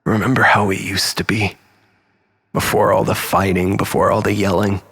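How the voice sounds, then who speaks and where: A man's voice: low-pitched, flat delivery, moderate pace, vocal fry, fairly bright tone, breathy edge, big-room sound, full character voice. A man playing a desperate, manipulative, self-pitying lover in a dramatic monologue, stammering and repeating himself as he swings between pleading and bitterness. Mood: tearful pleading.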